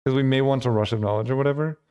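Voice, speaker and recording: A man hosting his channel, thinking aloud in casual gameplay commentary with lots of fillers, his voice getting hoarse. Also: mid-range voice, fairly even intonation, very fast, dark tone. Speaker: channel host.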